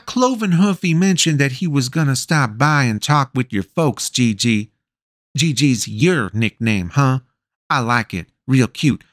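A woman's voice: low voice, no echo, studio quality, pitched above the performer's own voice. A man voicing a big, bossy, doting mother who talks in a fussy, folksy, insistent way. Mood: chatty.

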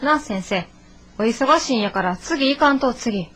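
Stubborn young kansai daughter, highish voice, sharp tongue, radio play. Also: female voice, expressive, normal speed, husky. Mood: insistent. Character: strong-willed young woman, shopkeeper's sharp-tongued daughter. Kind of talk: radio drama acting.

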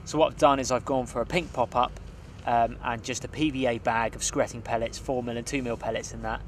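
A man's voice: mid-range voice, a little fast, slight background noise. A young man, co-hosting a fishing show, seated at a lakeside and talking through his tactics with some ums. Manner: relaxed, hesitant with ums, confiding. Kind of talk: casual outdoor fishing commentary.

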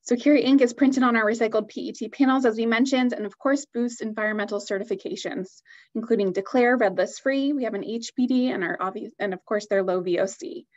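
A woman's voice: a little high, fairly quick, muffled recording. A woman giving an upbeat, enthusiastic product presentation on a video call.

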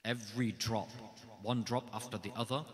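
A man's voice: medium pitch, steady tone, normal speed. A man speaking at a religious gathering, delivering an emphatic devotional address that stacks short, repeated phrases before introducing the scholars. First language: not Urdu. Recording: long hall reverb.

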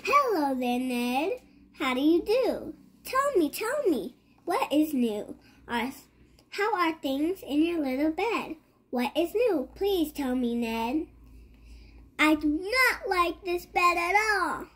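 A child reads a picture book aloud in a high voice. The pace is extremely slow, and the pitch moves up and down in a lively way. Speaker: child reader.

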